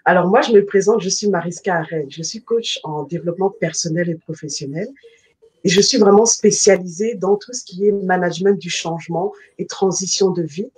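A middle-aged woman from the Congo introduces herself as a life coach over a video call. Her voice is slightly husky. She speaks fluently and earnestly, with a devout tone, through the webcam mic. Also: lowish voice, brisk, a little mellow, poor audio. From Republic of the Congo. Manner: earnest, faith-filled, fluent testimony. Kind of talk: guest self-introduction. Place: home room, video call.

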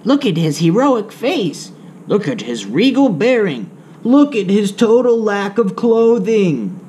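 A man's voice: high-pitched, very animated, slow, mellow, pitched above the performer's own voice, full character voice. Man putting on squealing, indignant cartoonish mole rat. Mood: indignant, demanding. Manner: exclamatory, exaggerated disgust and alarm. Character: squeamish, indignant crowd of young naked mole rats.

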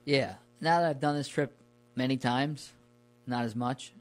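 A man with a middle-pitched voice talks informally, as if swapping stories with a buddy. His wording is loose and colloquial, and he recounts things in an easy conversational way. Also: a little slow. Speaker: podcast guest recounting his camping trips. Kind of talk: casual conversational storytelling.

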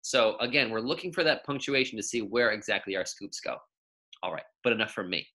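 A young man reading a children's story aloud as a teacher, with expressive, emphatic delivery and drawn-out key words. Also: fairly low pitch. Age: young adult.

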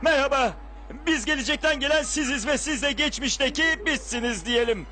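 A man's voice: extremely high pitch, fairly quick. A man voicing a fretful, hungry little bug in a children's cartoon, whiny, hesitant and full of exclamations. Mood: anxious, exasperated. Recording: music behind the voice.

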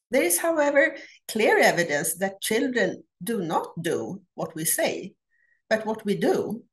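A middle-aged woman from Sweden with a Swedish accent, giving a prepared academic conference talk over a video call, measured and deliberate with frequent pauses. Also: mid-range voice. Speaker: academic researcher presenting a paper. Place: home office. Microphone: laptop webcam on a video call.

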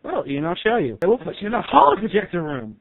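A man playing an excitable, easily irritated doctor who lectures on science in a comedy skit, over a thin recording. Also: high voice, fast talker, no room sound, phone-quality sound, exaggerated voice. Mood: excited. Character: excitable, easily irritated male doctor explaining cloning with mock-authority. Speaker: doctor character giving science explanations. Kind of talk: amateur comedy skit acting with scripted explanation. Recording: thin, band-limited, dry radio-like sound.

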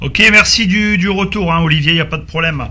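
A man's voice: fairly high pitch, quick pace, very noisy. A man working as a radio operator, chatting in call signs and spelled-out letters.

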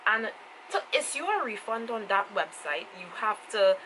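Woman, Trinidadian accent, twenties, casual beauty review, clear background noise, home camera sound. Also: mid-range voice, very animated, moderate pace, bright, forceful tone, low-quality recording. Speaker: beauty vlogger. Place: small room indoors. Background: clear ambient noise.

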